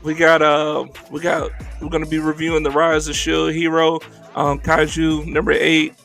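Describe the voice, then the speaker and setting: A man's voice: a little high, monotone, normal speed, creaky, fairly bright tone, soft background music. A man hosting a casual anime podcast, joking and laughing easily as he introduces his co-hosts. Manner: laid-back, joking, laughs often. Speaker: podcast host.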